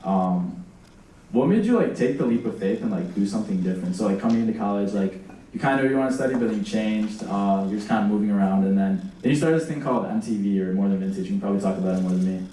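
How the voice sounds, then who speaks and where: A young man hosts a campus interview, tossing out quick, rambling questions full of "like" fillers. His voice is low and mellow. It sounds distant in a very echoey room. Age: young adult. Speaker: student host, interviewer. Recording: roomy hall, distant mic.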